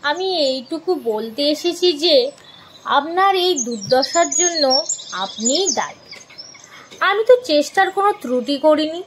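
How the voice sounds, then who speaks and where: A woman playing a sorrowful, gently reproachful widow in an audio story drama, speaking deliberately with emotive pauses. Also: high-pitched, expressive, noisy background. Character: sorrowful young widow, gentle yet reproachful, confessing long suffering.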